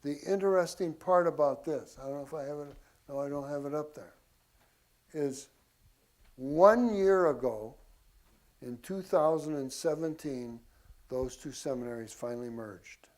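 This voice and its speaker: A man's voice: fairly high pitch, unhurried, a little mellow. A man giving an informal history lecture to a group, in a folksy way with casual asides to his listeners. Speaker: church class teacher.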